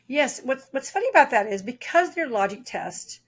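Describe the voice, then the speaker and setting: A woman's voice: sits high, very animated, moderate pace, breathy edge. A woman guest on a podcast, an author explaining test preparation in a talkative way, telling stories with frequent fillers. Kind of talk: podcast interview.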